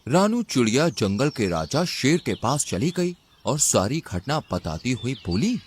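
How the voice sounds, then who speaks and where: A man's voice: medium pitch, very animated, a little slow, slight background noise. A man narrating a scripted children's story.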